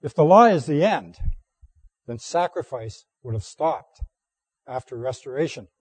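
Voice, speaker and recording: A man with a hoarse, mellow voice delivers a sermon, reading scripture in a deliberate, steady cadence. The sound is close and dry, with no room echo. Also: medium pitch. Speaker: preacher.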